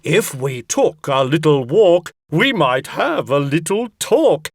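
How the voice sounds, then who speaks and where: A woman's voice: deep voice, big pitch swings, slow pace, husky, dry recording, clean studio sound, heavily acted. A woman voicing a talking table in a nursery rhyme, plaintive yet eager for adventure, theatrical and earnest.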